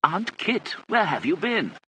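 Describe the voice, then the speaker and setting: In a novel reading, a man plays an exasperated lord, clipped and incredulous. His voice is bright and swoops about, over faint noise. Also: high voice, wildly swooping pitch, unhurried, pitched above the performer's own voice, full character voice. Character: flustered, exasperated English lord, family father.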